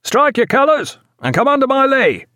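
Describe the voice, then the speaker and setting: Man acting a brassy, high-hailing naval lieutenant. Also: sing-song, moderate pace, pushed and bright, dry recording, studio quality, heavily acted. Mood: commanding. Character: loyal hearty male naval lieutenant with brassy hail.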